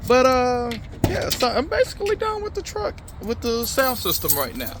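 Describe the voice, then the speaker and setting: A man's voice: very high voice, wildly swooping pitch, moderate pace. A man talking casually and informally in slangy, swear-sprinkled speech, as a vlogger walking viewers through hands-on work. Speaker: car audio vlogger. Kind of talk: casual hands-on install vlog talk. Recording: noisy vehicle and outdoor phone-video sound.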